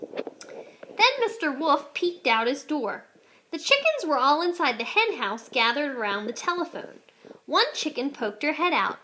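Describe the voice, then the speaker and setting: A woman's voice: high voice, sing-song, unhurried, pushed and bright, rough recording. A woman reading a children's storybook aloud expressively, like a teacher.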